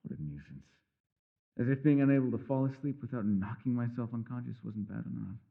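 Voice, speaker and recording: A man's voice: medium pitch, a little fast, vocal fry, narrow, tinny audio. A man playing a mysterious elf mage in a fantasy ASMR roleplay, a patient mentor who muses wryly in formal, old-fashioned phrasing. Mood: brooding, wry. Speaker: voice actor.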